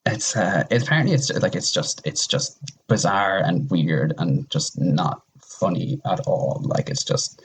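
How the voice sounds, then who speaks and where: A man's voice: deep voice, animated, unhurried, breathy edge, poor audio. A man in casual podcast conversation, speaking bluntly and informally with some swearing.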